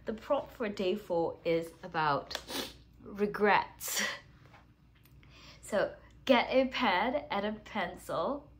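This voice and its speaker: A woman English teacher hosting a writing challenge, talking casually to camera while congested with a cold, chatty and self-deprecating, clearing her throat and coughing now and then. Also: medium pitch, takes it slowly, long pauses.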